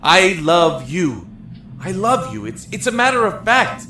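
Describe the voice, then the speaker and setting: Man acting lovesick young prisoner, high swinging voice. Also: sing-song, unhurried, sharp tone, full character voice. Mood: stubborn, teasing. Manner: earnest, pleading, stammering. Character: lovesick young male prisoner, stubborn, playful and desperate. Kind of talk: audio drama acting with reflective narration. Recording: roomy echo with music underneath.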